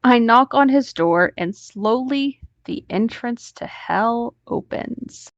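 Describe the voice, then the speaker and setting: A woman's voice: on the high side, expressive, slow pace, dry recording, highs cut off. A woman host reading an online story aloud, breaking off now and then into casual asides.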